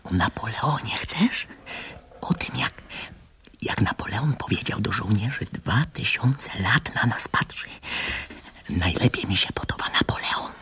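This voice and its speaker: Whispery, timid young friend. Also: male voice, on the low side, animated, unhurried. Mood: hushed, reluctant. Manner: hushed, hesitant. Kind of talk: Polish radio drama acting.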